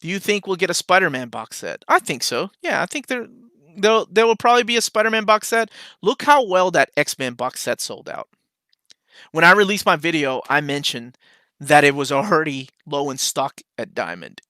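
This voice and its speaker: A man from Peru hosts a casual live chat from home, reading out questions and answering with easy enthusiasm. His voice is bright and forward, pitched a little high for a man. The sound is dry and close, with almost no room behind it. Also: moderate pace, no room sound. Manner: relaxed and chatty, reads viewer questions aloud and answers with enthusiasm. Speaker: comics channel host.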